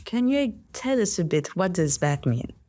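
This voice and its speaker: A woman's voice: on the low side, big pitch swings, moderate pace, dark tone. A young woman from Mauritius hosting a podcast, reading a polished intro and then asking guests questions in an upbeat way. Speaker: podcast host.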